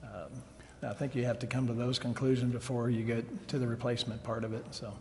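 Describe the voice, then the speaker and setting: A man of average pitch gives informal, loosely phrased remarks at a council meeting. He hesitates as he goes, and the hall adds long reverb with a little background noise. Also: flat delivery, fairly quick, a little mellow. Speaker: city council member. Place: indoor council chambers.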